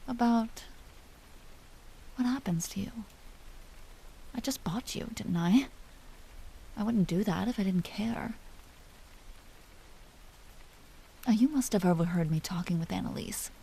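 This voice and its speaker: A woman playing an aristocratic vampire duchess in a roleplay audio drama, commanding and teasing yet secretly tender, often hushed and confiding. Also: mid-range voice, unhurried, vocal fry, pauses often, husky, rough recording. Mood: earnest.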